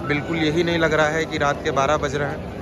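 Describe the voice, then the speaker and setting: A man's voice: fairly high pitch, little pitch movement, fast talker, very noisy. A young man from Lucknow, casually narrating a walking tour of a busy street at night, talking to viewers like a guide. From Lucknow, India. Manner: chatty, addresses viewers as guys, guide-like pointing out sights. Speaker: travel vlogger. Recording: loud street music over voice. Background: loud music from the street.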